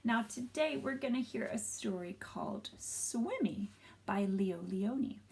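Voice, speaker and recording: A woman reading a story aloud for young children, gently and expressively, pausing to ask them questions. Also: on the high side, expressive.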